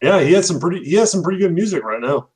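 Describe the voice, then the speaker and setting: A man's voice: high voice, lively intonation, rapid-fire, a little mellow. A young man hosting a casual chat, rambling with many fillers and laughing often. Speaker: host.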